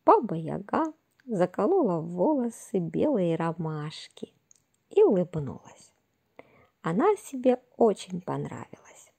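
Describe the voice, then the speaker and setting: Female storyteller for children's fairy tales: very slow, gentle delivery, lowish voice with some creak and a soft breathy edge. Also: very animated. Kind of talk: slow scripted fairy-tale narration for children.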